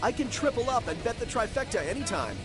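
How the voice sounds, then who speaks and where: A man's voice: very high voice, big pitch swings, fast talker, music in the background. A man speaking in a scripted commercial in an upbeat, friendly way. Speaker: ad spokesman.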